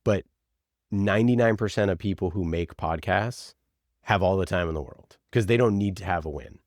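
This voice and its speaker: Low-voiced man, informal and emphatic. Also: moderate pace, darkish tone, dry recording, studio quality. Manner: emphatic, informal, opinionated, acts out imagined quotes. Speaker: podcast producer, interview guest. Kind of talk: conversational marketing advice.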